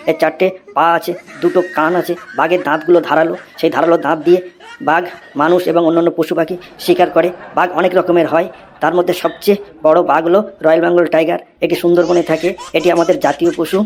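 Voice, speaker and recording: A man's voice: a little high, close to an everyday voice. A man voicing a cheeky, unflappable schoolboy in a comedy cartoon, reciting essays by rote.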